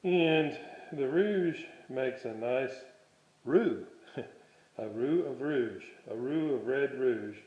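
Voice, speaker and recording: A man giving a casual hands-on workshop demonstration, halting and thinking aloud as he works. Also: mid-range voice, expressive. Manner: musing aloud, halting, thinking while working. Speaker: hobbyist presenter of a restoration video series. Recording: dull, roomy home workshop recording.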